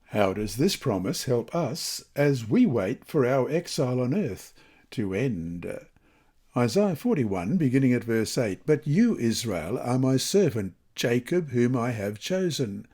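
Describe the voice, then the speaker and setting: Expressive, mellow male devotional reader. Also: medium pitch. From Australia. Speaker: lesson reader. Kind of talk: scripted devotional narration with scripture reading.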